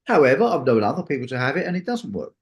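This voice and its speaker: A man's voice: medium pitch, big pitch swings, very fast. A man in casual conversation, talking in a rambling, anecdotal way and acting out bits of reported dialogue.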